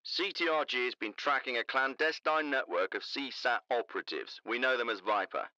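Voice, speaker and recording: A man's voice: medium pitch, moderate pace, sharp tone, dry recording, lo-fi sound. A man acting as a determined special forces captain, speaking bluntly and urgently through a military radio filter in a video game. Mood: blunt, factual. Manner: blunt, urgent, matter-of-fact. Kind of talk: video game cutscene dialogue, radio report. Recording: band-limited military radio transmission.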